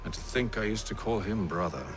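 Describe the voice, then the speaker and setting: An actor playing a composed, commanding gentleman schemer in a video game, speaking in a formal, measured, resolute way. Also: on the low side, close to an everyday voice. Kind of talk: dramatic video game acting. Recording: faint background music under the voice.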